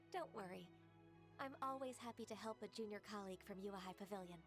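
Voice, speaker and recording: An actress voicing a calm, composed young secretary in a video game, speaking gently, politely and with assurance. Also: female voice, mid-range voice, brisk, over music, close to an everyday voice.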